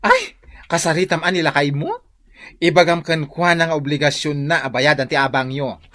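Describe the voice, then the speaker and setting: A man's voice: fairly high pitch, sing-song, moderate pace, fairly bright tone. A young man playing an opinionated student boarder in a radio drama, exclamatory, with a Filipino accent.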